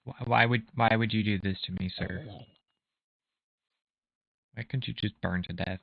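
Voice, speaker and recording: A man's voice: lowish voice, steady tone, a little slow, vocal fry. A young man from Minnesota streaming a game, joking dryly while he plays. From USA, Minnesota. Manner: dry, joking, talks while playing. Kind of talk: casual gaming stream chat. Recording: muffled lo-fi mic.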